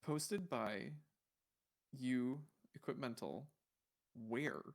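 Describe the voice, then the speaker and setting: A man with a mid-range voice hosts a casual livestream. He talks in a rambling way, thinking out loud as he browses. His delivery is conversational and musing, as if puzzling things out. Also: mid-range voice, very slow, creaky. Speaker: livestream host.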